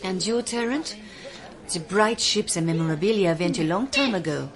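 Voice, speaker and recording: An actress playing an arch, probing rival collector in a radio drama. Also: female voice, medium pitch, lively intonation, moderate pace, lightly acted.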